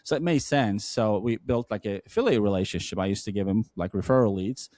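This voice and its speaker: Low-voiced man with a Turkish accent. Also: a little fast, a little mellow, no room sound. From Turkey. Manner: earnest, many restarts and fillers. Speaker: podcast guest, entrepreneur. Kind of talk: conversational storytelling.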